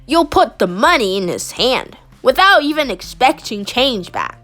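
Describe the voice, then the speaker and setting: High-voiced woman reading a picture book aloud. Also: big pitch swings, measured pace, a bit bright, faint music behind. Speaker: storybook reader. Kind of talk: expressive read-aloud of a children's picture book.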